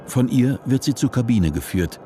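An actor as the narrator of a dystopian radio play, reading scripted prose in a measured, deliberate way. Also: male voice, medium pitch, animated, dark tone, natural voice. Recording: noisy vehicle-like ambience behind.

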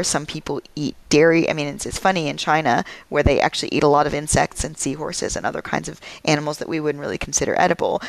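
Gravelly-voiced woman explaining research. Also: sits low, no echo, poor audio. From United States. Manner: articulate, explanatory, thoughtful. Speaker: guest. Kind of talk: podcast interview.

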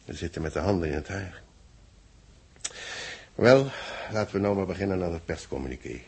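An actor playing a deliberate space-base official in 1960s radio drama, with a husky voice and a Netherlands Dutch accent. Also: male voice, deep voice, animated, full character voice. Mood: measured, explanatory. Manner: deliberate, explanatory. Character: measured male space-base official briefing his secretary.

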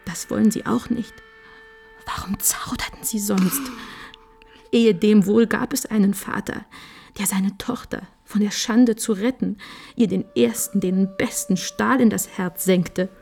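A devout young daughter in an old radio tragedy. Her voice is husky and mellow, with a creaky edge. She pleads with theatrical fervour, resolved and passionate. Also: medium pitch. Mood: urgent pleading. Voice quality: breathy, husky. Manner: slow, fervent, theatrical whispering and pleading. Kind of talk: mid-century German radio play acting, classical tragedy.

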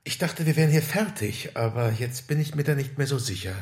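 An actor playing a matter-of-fact, probing police detective in naturalistic audio drama dialogue. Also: male voice, mid-range voice, animated, fairly quick, darkish tone, slightly rough.

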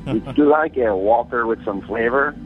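A man's voice: medium pitch, measured pace, narrow, tinny audio, faint music behind. A man calling in to a radio show, joking around and slipping Spanish words into his talk. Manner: playful, mixes in Spanish words. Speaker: caller. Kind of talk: joking call-in chat.

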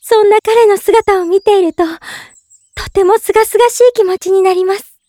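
A woman playing a gentle, polite young woman in love, narrating in character for a drama CD with sweet earnestness. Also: very high voice, fairly even intonation, moderate pace, no room sound, studio quality. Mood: fond, serene.

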